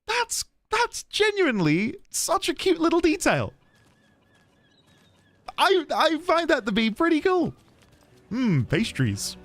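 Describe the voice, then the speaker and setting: A man live-streaming a video game, chatting excitedly with viewers, thanking them and stumbling over restarts as he talks. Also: high-pitched. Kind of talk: casual live-stream chat and commentary.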